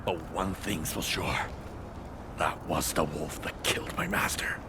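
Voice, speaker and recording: A very deep, weary samurai. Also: male voice, big pitch swings, a little slow, noisy background, exaggerated voice. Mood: grave, spent. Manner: blunt, world-weary, sighing, sprinkles in Japanese words. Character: battle-worn male samurai, gruff, weary, blunt, hard-drinking. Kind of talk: video game cutscene voice acting.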